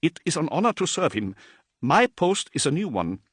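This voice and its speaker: A man's voice: on the high side, big pitch swings, moderate pace, a bit bright, slightly rough, no echo, clean studio sound, voice pushed up, heavily acted. A man voicing a nervous, overeager male messenger in an audiobook.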